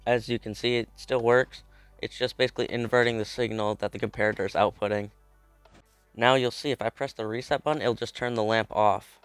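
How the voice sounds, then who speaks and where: A young man narrates a step-by-step tutorial while nursing a cold, talking in a loose, informal way. His voice stays smooth and resonant at a middling pitch. The sound is dry and close, with faint music underneath. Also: fairly even intonation, a little fast, no echo. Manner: chatty, informal, explains step by step. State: has a cold. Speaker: video creator explaining a game build. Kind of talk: casual tutorial walkthrough.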